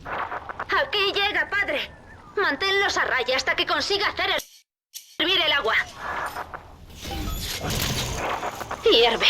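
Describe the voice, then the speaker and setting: High-pitched, determined young daughter. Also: female voice. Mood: focused, encouraging. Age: young adult. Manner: urgent, instructing. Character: determined, devoted young daughter guiding her father. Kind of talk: dramatic video game acting. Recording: clear noise under the voice.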